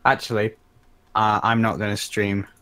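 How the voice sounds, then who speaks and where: A man's voice: on the low side, flat delivery, normal speed, bright, forceful tone, clean tone. A man guesting on a casual live podcast, chatty and easygoing. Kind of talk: casual chat.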